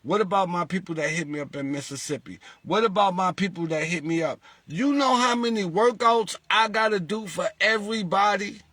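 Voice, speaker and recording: Animated rhetorical man, bright voice. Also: high voice, a little fast, few pauses, no echo. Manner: animated, rhetorical, acts out callers. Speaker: lottery group leader. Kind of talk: casual monologue to followers.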